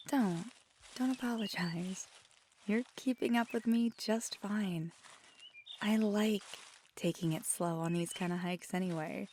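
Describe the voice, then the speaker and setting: A woman playing a feisty, affectionate magical girlfriend in an intimate ASMR roleplay, soft-spoken and halting, sometimes slipping into a whisper. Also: on the low side, slight background noise. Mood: gentle encouraging.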